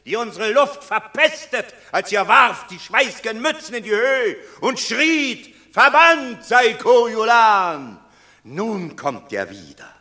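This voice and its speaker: An actor playing an anxious Roman elder in a classical verse radio drama, declaiming with regret and scorn. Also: male voice, extremely high pitch, expressive, slow pace, bright, forceful tone, heavily acted. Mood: scolding. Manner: pleading then scolding, declamatory.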